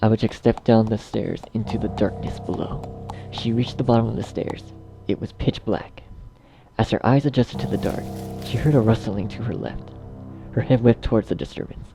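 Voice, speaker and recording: A man narrating a dark fan fiction story as a scripted reading. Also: on the low side, normal speed, gravelly, music in the background, poor audio.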